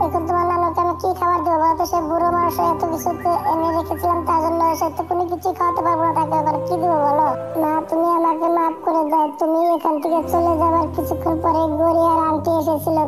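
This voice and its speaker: Cartoon bird acted out, childlike high pitch, little melodic variation, dramatic, music behind. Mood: anxious, pleading. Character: cartoon birds: scolding mother, scheming aunt, pleading children. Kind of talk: Bengali cartoon story dialogue. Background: background music.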